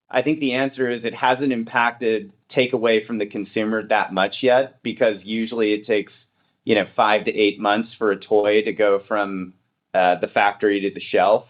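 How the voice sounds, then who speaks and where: Low-voiced executive man, slightly muffled line. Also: steady tone, moderate pace. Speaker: company executive on an earnings call. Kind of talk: answering analyst questions, semi-formal business talk. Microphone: conference call line. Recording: muffled conference call audio.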